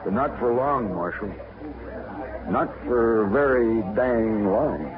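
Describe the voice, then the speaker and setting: A stubborn, hotheaded frontier rancher with blunt, rough grammar in a vintage radio western. The sound is thin and tinny, with a chattering saloon crowd behind him. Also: male voice, mid-range voice, expressive, slow. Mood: surly. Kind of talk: 1950s radio drama acting. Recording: tinny old radio broadcast in a noisy saloon.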